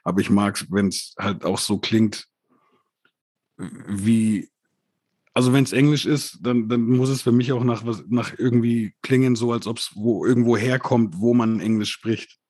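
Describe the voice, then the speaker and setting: A man co-hosting a casual rap review chat, relaxed and laughing often, who grew up bilingual. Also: mid-range voice, measured pace, husky, no echo. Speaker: podcast co-host reviewing rap releases.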